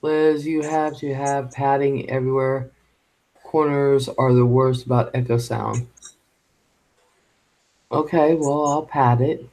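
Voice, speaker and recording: A man at mid pitch, musing out loud on a home microphone as he hosts a relaxed live chat. He wanders through his thoughts with frequent fillers and restarts. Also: poor audio. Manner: halting and rambling, with many fillers. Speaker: live stream host.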